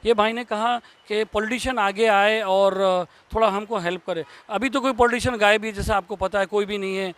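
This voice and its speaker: A man's voice: sits high, steady tone, a little fast, few pauses, a bit bright. A man, interviewing people as a local news reporter. His questions are informal and discursive.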